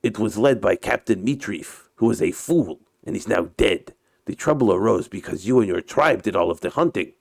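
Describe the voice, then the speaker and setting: A man voicing a tall, bearded, haughty Russian sea captain with a Russian accent, speaking slowly and deliberately in a storybook reading. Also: on the low side, animated, creaky, no room sound, clean studio sound. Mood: condescending.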